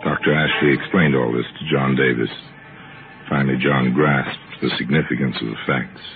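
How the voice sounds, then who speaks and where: A man narrating an old-time radio mystery as host, measured and storytelling in his delivery. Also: very deep voice, steady tone, soft background music. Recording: tinny old radio broadcast.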